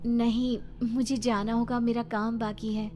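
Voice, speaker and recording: A woman's voice: fairly high pitch, level tone, a little slow, a little mellow, faint music behind, pitched above the performer's own voice, close to an everyday voice. A woman voicing a polite, alluring young woman asking for help in a horror cartoon.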